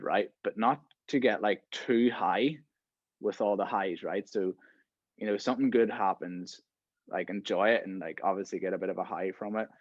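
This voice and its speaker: A man's voice: fairly low pitch, dry recording, muffled recording. A man in his thirties hosting a podcast in casual conversation, filling his talk with "right?" and "you know". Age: 30s. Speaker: podcast host.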